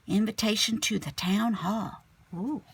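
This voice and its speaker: A woman teacher reading a children's picture book aloud expressively, sometimes dropping to a hushed tone. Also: medium pitch, a little slow, gravelly, slightly rough. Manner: animated storyteller, drops to hushed whispery tones.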